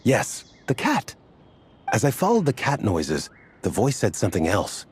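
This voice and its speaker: A man's voice: mid-range voice, wildly swooping pitch, a little slow, a little mellow, breathy edge, exaggerated voice. A man voicing an earnest, flustered man who meanders and gets sidetracked while recounting a strange ordeal. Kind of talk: game cutscene dialogue.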